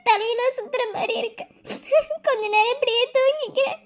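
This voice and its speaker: A woman's voice: extremely high pitch, fairly quick. Woman playing a tearful frightened young wife. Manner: sobbing, pleading. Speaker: voice actor. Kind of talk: audio drama acting.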